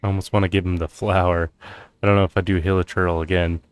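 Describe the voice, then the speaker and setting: A man's voice: low-pitched, level tone, quick pace, clean tone, no echo. A man giving casual commentary as he plays through a video game. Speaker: game streamer.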